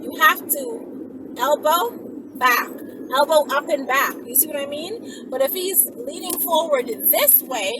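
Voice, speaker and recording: High-pitched, animated woman in a noisy car. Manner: animated, checks understanding often. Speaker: vlog host giving instructions. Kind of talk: informal instructional chat to camera. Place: inside a car on the road. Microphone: camera at arm's length or on dashboard. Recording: loud vehicle and road noise, distant mic.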